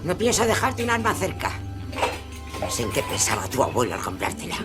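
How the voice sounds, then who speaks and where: Abuela severa y regañona, muy expresiva. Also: female voice, sits low, wildly swooping pitch, brisk, over loud music. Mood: scolding, bitter. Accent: Castilian Spanish. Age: older. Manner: scolding, nagging, sharp-tongued. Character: harsh, scolding, domineering old grandmother. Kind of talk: audio drama acting.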